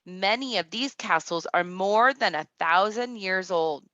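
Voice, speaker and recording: A woman teacher reading aloud to kindergartners, speaking deliberately and instructively, with asides addressed directly to the children. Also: medium pitch, bright, forceful tone, dry recording, muffled recording.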